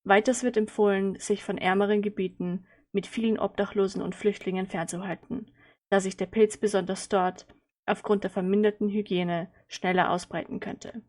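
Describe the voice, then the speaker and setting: A woman's voice: medium pitch, level tone, moderate pace, a little mellow, close to an everyday voice. A woman as a composed television newsreader, delivering a formal scripted bulletin.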